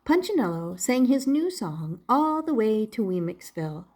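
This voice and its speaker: An older woman reading a children's story expressively, in a deliberate manner suited to young listeners. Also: fairly high pitch, very animated, darkish tone. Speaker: storybook reader.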